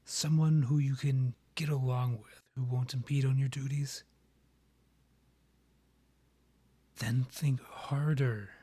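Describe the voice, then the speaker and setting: A man playing a haughty vampire monarch, a proud rival and ex-lover, with formal, measured, archly condescending phrasing in a romantic roleplay audio drama. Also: mid-range voice, steady tone, warm tone, slightly rough. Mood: coaxing, exasperated.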